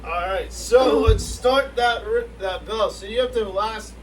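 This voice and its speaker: A man's voice: high voice, unhurried. A young man hosting and refereeing an amateur backyard wrestling challenge, laughing often and teasing his opponents. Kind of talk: playful amateur wrestling banter. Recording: distant mic with faint noise.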